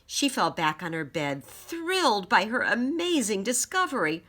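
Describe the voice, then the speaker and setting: Children's story narrator, woman, bright clear-cut tone, lively melodic range. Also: medium pitch, unhurried. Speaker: storybook reader.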